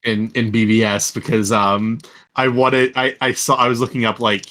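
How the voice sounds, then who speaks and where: A man's voice: mid-range voice, level tone, moderate pace, pushed and bright. A man in a casual chat, rambling and often restarting his sentences. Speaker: podcast panelist and fandub voice actor.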